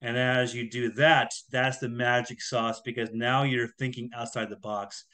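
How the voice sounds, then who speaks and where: A man in his fifties hosting a show and introducing a guest in a relaxed way from a room at home, over a video call. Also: mid-range voice, normal speed. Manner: relaxed, with "uh" and "you know" fillers. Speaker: podcast host. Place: home room with a ceiling fan. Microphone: video call webcam.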